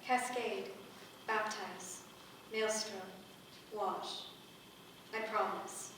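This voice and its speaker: A woman playing a composed, polite water herald in a staged play reading, measured and formal. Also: mid-range voice, fairly even intonation. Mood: solemn. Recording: very echoey room, distant, faint noise.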